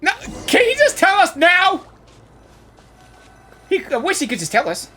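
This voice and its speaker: A woman's voice: very high-pitched, wildly swooping pitch, measured pace, fairly bright tone. A woman gamer giving casual, exclamatory reaction commentary, often repeating herself. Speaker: gamer reacting to a game story.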